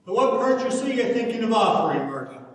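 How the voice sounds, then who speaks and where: A man's voice: very high-pitched, very animated, quick pace. A man playing a kindly, anxious older countryman with a hesitant, roundabout manner in a stage reading. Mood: hearty. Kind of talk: dramatic stage reading of an Irish rural play. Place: large reverberant hall, recorded from afar. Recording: echoey hall, distant microphone.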